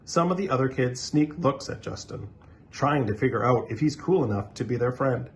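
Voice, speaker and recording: A man reading a children's story aloud in an animated, expressive way, with dramatic pauses. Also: medium pitch, a little hiss or hum.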